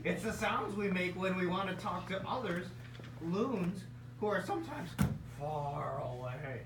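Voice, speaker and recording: A man's voice: sits high, lively intonation, measured pace. Man playing a goofy, swooping loon bird. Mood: goofy, playful. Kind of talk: puppet show acting. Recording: noisy, echoey room from far off.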